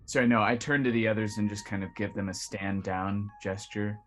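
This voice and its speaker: A man's voice: lowish voice, steady tone, fairly quick. A man describing his character's actions as a player in a tabletop roleplaying game. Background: faint music.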